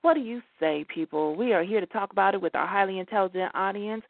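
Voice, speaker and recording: A woman's voice: medium pitch, fast talker, no echo. Woman hosting radio over thin phone line. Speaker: internet radio talk show host.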